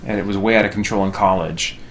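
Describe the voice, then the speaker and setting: A man's voice: deep voice, fairly even intonation, fast talker, slight background noise, low-quality recording. A man, sounding middle-aged, chatting casually as he streams a video game, with a jokey, self-deprecating manner. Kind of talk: casual chat while playing a video game.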